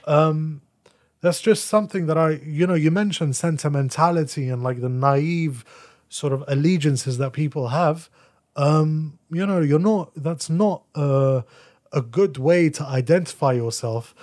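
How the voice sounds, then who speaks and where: A man talking as a podcast guest, explaining his views in an animated, blunt and casual way. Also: on the high side, a little slow. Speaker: podcast guest, article writer. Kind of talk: casual opinionated discussion.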